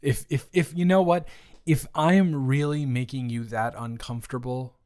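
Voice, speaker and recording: A man playing an awkward, earnest ex-boyfriend in an improvised romantic roleplay, speaking haltingly and stammering to the listener. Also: mid-range voice, steady tone, a little mellow, lightly acted. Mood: earnest, flustered. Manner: halting, stammering, with long pauses. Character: awkward, earnest, teasing young ex-boyfriend trying to reconcile.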